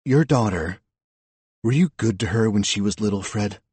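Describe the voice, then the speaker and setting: Man voicing a hard, sardonic female detective, husky. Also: lowish voice, animated, normal speed, darkish tone, no room sound. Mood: cool, probing. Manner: sardonic, confrontational. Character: hard, scornful female police detective, coldly relentless.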